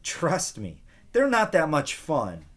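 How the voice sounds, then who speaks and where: An exasperated schoolboy big brother from a storybook, read by a man. He sounds reluctant, with lively swings in pitch and a measured pace. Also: heavily acted. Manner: expressive storybook reading.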